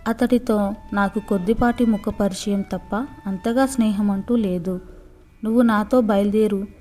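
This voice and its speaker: A woman's voice: medium pitch, fairly even intonation, unhurried, dark tone, faint music behind, close to an everyday voice. A woman storyteller voicing a decisive, cunning man in a children's story. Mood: resolute. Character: resolute, cunning man helping his friend.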